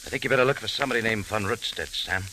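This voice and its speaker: An actor playing a tough, wry American adventurer in old radio detective style, with a clipped, casual hard-boiled delivery. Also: male voice, lowish voice, rapid-fire, bright, forceful tone, a little hiss or hum, rough recording. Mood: wary. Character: tough, wry American adventurer hero narrating his case. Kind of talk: 1940s-50s radio detective acting. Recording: old radio recording with music.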